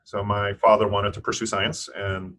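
Very deep-voiced man, thoughtful. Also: flat delivery, normal speed, a bit bright, slight background noise. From United States (Eastern Tennessee). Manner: thoughtful, with frequent restarts and fillers. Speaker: physician and scientist guest. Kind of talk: interview conversation.

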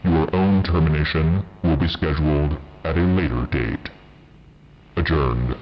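An actor playing a cold, stern overseer in an audio drama, speaking in a formal, measured, authoritative way. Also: male voice, a bass voice, highs cut off, soft background music. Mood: cold, official. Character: cold, stern male overseer passing sentence.

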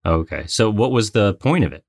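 A man co-hosting a podcast in casual, playful banter, with an excitable, mock-dramatic streak. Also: lowish voice, brisk, vocal fry, dry recording, studio quality. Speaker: podcast co-host and trivia game player.

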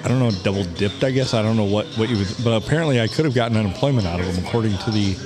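A man co-hosting a podcast in casual crude banter, telling stories in a dry, deadpan way. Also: fairly low pitch, racing, over music. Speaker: podcast co-host.

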